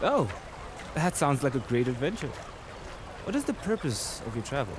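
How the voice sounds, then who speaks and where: A man's voice: medium pitch, big pitch swings, moderate pace, natural voice. A man playing a friendly, curious local from a river city in a radio drama. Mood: friendly curiosity. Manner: friendly, inquisitive. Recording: noisy watery ambience behind the voice.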